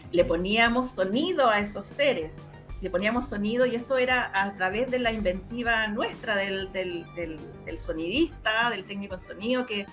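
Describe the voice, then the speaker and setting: A woman with a Chilean accent giving casual interview answers, explaining eagerly and often repeating words as she searches for the next one. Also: mid-range voice. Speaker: interviewee, radio drama maker.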